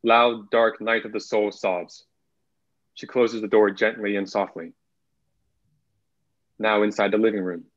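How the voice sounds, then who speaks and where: A young man narrating, reading out screenplay stage directions over a video call. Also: lowish voice. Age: young adult. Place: indoor room, video call. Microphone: video call, computer mic. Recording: muffled video call audio.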